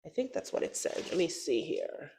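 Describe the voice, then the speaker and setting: A woman's voice: deep voice, big pitch swings, moderate pace, warm tone, slight background noise. A woman with a stuffy nose from a cold, chatting casually through a gardening how-to vlog and saying "y'all" often. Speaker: gardening vlogger.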